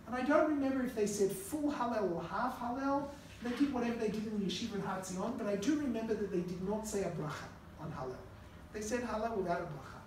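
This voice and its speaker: A middle-aged man teaches a class in a relaxed, storytelling way, turning now and then to speak directly to his students. He is recorded from across the room, so his voice bounces around a very echoey classroom with a faint hiss underneath. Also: high voice, fast, far from the mic. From Australia. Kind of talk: conversational classroom lecture. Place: classroom with a live audience.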